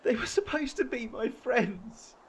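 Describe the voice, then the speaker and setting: Casting: male actor for despairing, ashamed businessman in radio drama, high voice, wide swings. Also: big pitch swings, a little slow, breathy edge, full character voice. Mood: anguished, ashamed. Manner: emotional, despairing. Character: anguished, ashamed businessman abused by his girlfriend. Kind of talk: audio drama acting.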